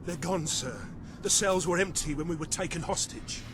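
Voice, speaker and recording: Man acting a dutiful, higher-voiced soldier. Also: normal speed, natural voice. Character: dutiful male soldier reporting to his commander. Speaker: video game character, soldier. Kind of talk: game voice acting. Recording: faint noisy outdoor ambience behind.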